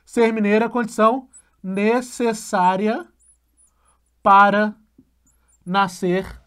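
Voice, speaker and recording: A male math teacher patiently explaining a logic lesson, often checking in with a quick question and slowly repeating key phrases. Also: sits high, flat delivery, fairly bright tone, clean tone.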